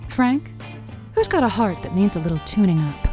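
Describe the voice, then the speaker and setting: A woman's voice: medium pitch, very animated, normal speed, dark tone, faint music behind, natural voice. A woman playing a playful, caring late-night radio advice host in an audio drama, with an intimate, teasing, encouraging manner.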